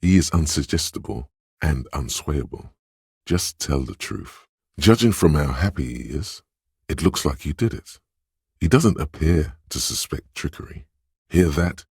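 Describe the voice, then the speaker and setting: A man voicing a suave, coaxing inner voice of persuasion in a video game, with a husky, insinuating, knowing delivery. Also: a bass voice, expressive, unhurried, darkish tone, no echo, studio quality, heavily acted. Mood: gently guiding. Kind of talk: video game voice acting, advisory narration.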